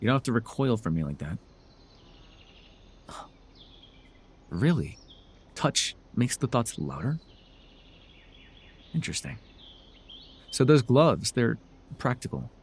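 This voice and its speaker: A man acting as a sardonic male supervillain with a husky edge to his voice. His delivery swings widely in pitch, theatrical and taunting, in the style of an intimate dramatic audio roleplay. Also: sing-song, a little slow, long pauses, heavily acted. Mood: dawning sympathy. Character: sardonic male telepathic supervillain, menacing yet curious.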